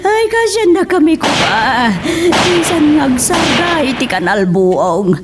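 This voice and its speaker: A woman's voice: high voice, sing-song, slow, heavily acted. A woman playing a panicking, devout village mother in a radio drama, wailing and crying out prayers. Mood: panicked, wailing. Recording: distant, very noisy amateur recording.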